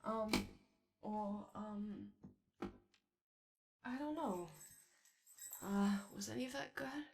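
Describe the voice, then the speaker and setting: A woman's voice: mid-range voice, animated, takes it slowly, creaky, a little mellow, slight background noise. A woman playing a shy, chatty animatronic daycare attendant in a roleplay ASMR, rambling tentatively and trailing off. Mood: hesitant, helpful. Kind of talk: roleplay ASMR, improvised-sounding casual chat.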